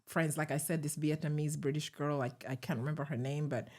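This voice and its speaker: A middle-aged woman with a Ugandan accent, a guest recalling her past in a measured, reflective way with soft laughs. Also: sits low, fairly even intonation. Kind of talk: conversational interview storytelling.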